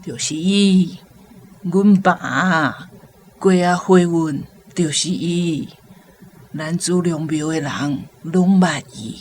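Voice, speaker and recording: A woman playing a 72-year-old Taiwanese mother recalling the past haltingly in a radio drama. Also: sits low, a little mellow, a little hiss or hum. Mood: reminiscing.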